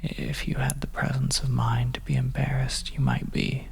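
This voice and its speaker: A person whispering a second-person horror story in ASMR style, breathy and deliberate, leaving long pauses between phrases. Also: medium pitch, flat delivery. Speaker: audio roleplay storyteller. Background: oppressive ambience.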